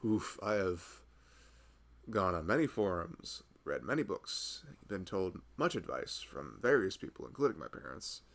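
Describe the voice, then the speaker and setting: A man's voice: deep voice, very animated, unhurried, gravelly, a bit bright, full character voice. A man playing a loving husband who rambles tenderly and a little anxiously in an intimate, conversational ASMR roleplay. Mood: playfully exasperated. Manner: rambling, hesitant, conversational, frequent "you know". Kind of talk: intimate ASMR boyfriend roleplay, improvised-sounding chat.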